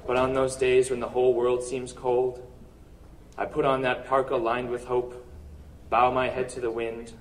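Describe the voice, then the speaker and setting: A man performing spoken word poetry at a live open-mic event, with earnest, rhetorical delivery and deliberate pauses. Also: medium pitch, monotone, distant mic, rough recording. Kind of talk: poetry recital, slam-style spoken word.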